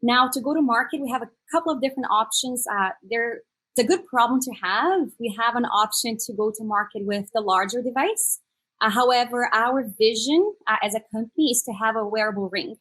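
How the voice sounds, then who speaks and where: A woman's voice: on the high side, a little fast, fairly bright tone, low-quality recording. A woman in her thirties from Brazil with a Brazilian Portuguese accent, a native Portuguese speaker, explaining her startup in an upbeat interview with many "uh" fillers. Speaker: startup executive guest. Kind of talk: conversational interview on a panel.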